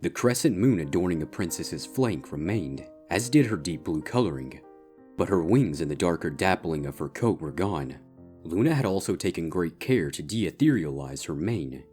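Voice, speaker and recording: A male narrator with a deep voice reads a scripted story. Quiet instrumental music sits behind the narration. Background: soft instrumental guitar music.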